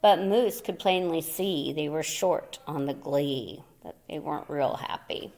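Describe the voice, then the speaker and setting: A woman reading a children's picture book aloud in a theatrical, playful way, with dramatic pauses and little asides for young listeners. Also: deep voice, darkish tone. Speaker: storyteller.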